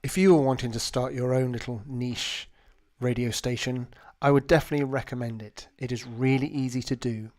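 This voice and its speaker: A man's voice: mid-range voice, fairly even intonation, fairly quick, darkish tone. A man, talking casually and explaining things to listeners as the host of an online show. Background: backing music.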